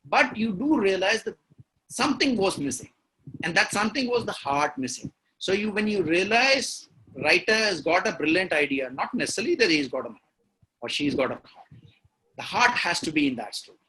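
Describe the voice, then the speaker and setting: Bright, high-voiced Indian man. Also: lively intonation, normal speed, slight background noise, poor audio. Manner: emphatic, repeats key words, lays points out one by one. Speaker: guest writer. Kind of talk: interview talk on storytelling.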